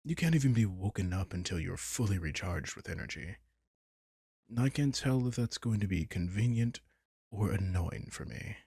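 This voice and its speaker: Husky, low-voiced vampire king played by a man. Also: animated, moderate pace, dark tone, no room sound, studio quality, heavily acted. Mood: musing. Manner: teasing, condescending, casually profane. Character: possessive, sardonic vampire king, smug and impatient. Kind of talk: intimate roleplay audio drama.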